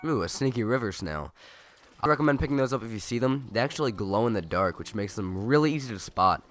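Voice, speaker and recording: A young man with a cold, his nose stuffed and his voice raspy, rambling chattily and correcting himself as he comments on a video game. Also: medium pitch, expressive, fast, a bit bright, faint music behind. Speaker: let's play gamer. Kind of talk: casual gameplay commentary.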